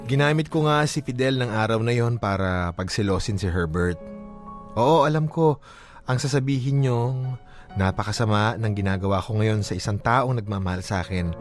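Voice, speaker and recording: A man's voice: mid-range voice, voice pushed down, natural voice. A man voicing a lovelorn, regretful young letter writer, subdued and confessional, in a radio drama narration. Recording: prominent music behind the voice.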